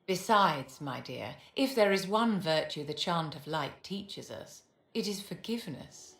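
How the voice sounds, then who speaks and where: An actress playing a poised, aristocratic mage in a video game, speaking in a measured, courtly and haughty manner. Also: female voice, lowish voice, animated, a bit bright. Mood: poised, assured. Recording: reverberant hall with music underneath.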